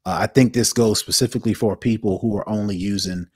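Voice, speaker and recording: Male co-host, low voice, dry close sound, easygoing and halting. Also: breathy edge, no room sound. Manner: relaxed, hesitant with many fillers. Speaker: podcast co-host. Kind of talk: casual tech chat.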